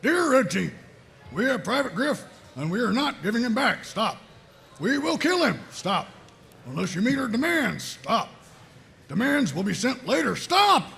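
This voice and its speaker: A man playing a gruff, bossy, callous military sergeant in a comedic table read, with a gravelly, blustering, emphatic delivery. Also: extremely high pitch, sing-song, measured pace, fairly bright tone, exaggerated voice. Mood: indignant reading aloud.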